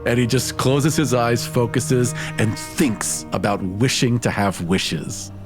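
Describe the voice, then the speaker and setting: A man's voice: mid-range voice, slow, music in the background. A man who is a tabletop game player, improvising narration of his character's actions.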